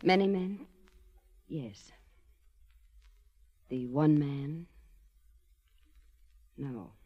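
An actress playing a glamorous, seductive lady racketeer in a 1940s radio drama, speaking in a sultry, coy, deliberate way. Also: sits low, lively intonation, exaggerated voice. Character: glamorous, seductive, self-possessed female racketeer. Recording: dull, lo-fi 1940s radio recording.

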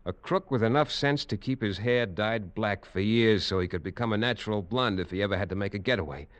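Guy doing a calm knowing tough-guy hero, slightly low voice, old radio drama. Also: a little fast, highs cut off, close to an everyday voice. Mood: wry. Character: shrewd adventurer, cool and wry. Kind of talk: 1950s radio drama acting.

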